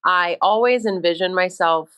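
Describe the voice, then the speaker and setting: A woman's voice: lowish voice, normal speed, fairly bright tone, clean tone. A woman in her thirties from Minnesota, a sports reporter chatting as an interview guest, upbeat and self-deprecating. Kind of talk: casual interview.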